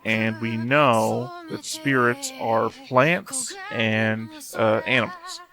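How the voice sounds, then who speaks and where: A man's voice: mid-range voice, expressive, takes it slowly, crowd noise. A man hosting a reaction video, giving casual analytical commentary in a rambling, thinking-aloud way.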